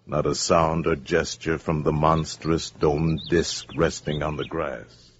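Ominous american old time radio narration, deep voice, dramatic, muffled sound. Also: male voice, little pitch movement, takes it slowly, slight background noise. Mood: hushed, ominous. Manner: portentous, dramatic. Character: grave, ominous male radio-play narrator. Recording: muffled 1950s radio, loud music behind.

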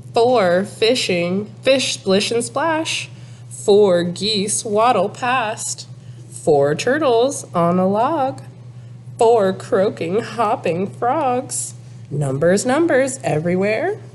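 A woman in her thirties, a teacher, reading a picture book aloud to young children in a sing-song, deliberate way with exaggerated emphasis. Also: a little high, a little hiss or hum. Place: small classroom, indoors.

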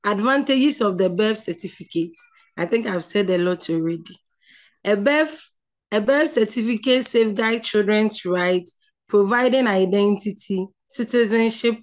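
A woman with a Ghanaian accent giving an online lecture over slides, explaining slowly with many restarts and filler sounds. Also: mid-range voice.

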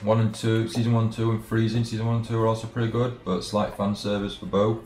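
A man streaming a game with casual chat, talking in a halting, hesitant way. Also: fairly low pitch, flat delivery, a little mellow. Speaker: let's play streamer. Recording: roomy microphone with game music behind. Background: game background music.

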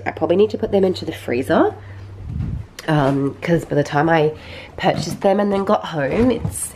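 An Australian woman in her thirties talks through her week in a relaxed, rambling way, with plenty of ums along the way. Her voice sits low, and she sounds sniffly, as if she is losing her voice. A faint hum sits behind her in a home kitchen. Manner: chatty, rambling, frequent ums. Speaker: vlogger. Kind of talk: casual grocery haul vlog.

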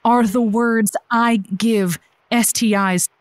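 A woman's voice: a little high, steady tone, slow, no echo, studio quality. A woman playing an upbeat, encouraging radio advice host who is patient but flustered, in a semi-improvised audio drama. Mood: enthusiastic. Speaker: radio call-in show host.

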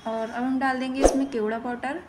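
Even, high-voiced woman narrating a recipe. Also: brisk, very noisy. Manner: instructional, plain-spoken. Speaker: cooking channel host. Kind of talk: step-by-step recipe voice-over narration.

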